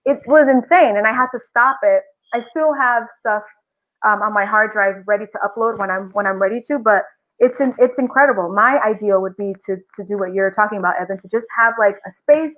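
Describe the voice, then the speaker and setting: A woman's voice: medium pitch, fairly quick, highs cut off. A woman guest chatting casually and emphatically from an echoey office through a laptop microphone. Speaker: podcast guest. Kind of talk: casual chat. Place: bare-floored office, indoors. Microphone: built-in laptop microphone on a video call.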